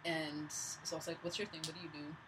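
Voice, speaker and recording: A woman filmmaker from Canada, a guest on a podcast, telling stories in a fast, joking, slangy way in a studio. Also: low-pitched, level tone. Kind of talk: casual podcast chat. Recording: noisy studio recording.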